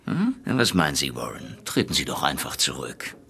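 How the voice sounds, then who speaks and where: An actor playing a patronizing police superior, falsely concerned, with a gravelly, husky voice in a German radio drama. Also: male voice, very deep voice, huge pitch swings, moderate pace, pushed and bright, exaggerated voice. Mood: condescending, veiled threat. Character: smooth-talking, condescending male police superior.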